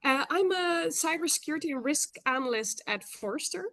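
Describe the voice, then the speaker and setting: A woman's voice: high-pitched, expressive, fairly bright tone, no room sound. Woman with a Dutch accent, dry sound. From Netherlands. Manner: measured, thoughtful, with frequent uh fillers. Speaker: industry analyst. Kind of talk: webinar panel discussion. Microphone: video call.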